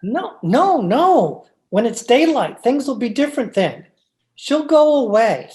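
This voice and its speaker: A woman's voice: a little high, big pitch swings, measured pace, dark tone, slight background noise. A woman acting a meek, henpecked, frightened husband in an old-time radio thriller, timid and stammering.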